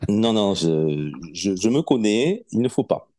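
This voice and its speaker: A man in his forties, a podcast guest chatting casually, relaxed and hesitant with many fillers and restarts. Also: lowish voice, measured pace, no room sound, clean studio sound. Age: 40s. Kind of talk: casual interview chat.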